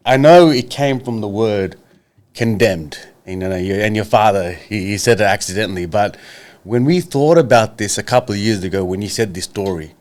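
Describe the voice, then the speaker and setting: An Australian man in his thirties, co-hosting a podcast, earnest and halting as he steers the chat with questions. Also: lowish voice, a little mellow. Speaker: podcast co-host.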